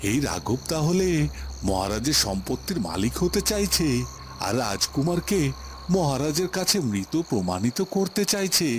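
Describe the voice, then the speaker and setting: A brooding cartoon court astrologer held captive played by a man, thinking suspiciously to himself. The male voice is a bit high and rises and falls a lot, over slight background noise. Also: very animated, moderate pace. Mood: brooding realization. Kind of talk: dubbed cartoon inner monologue.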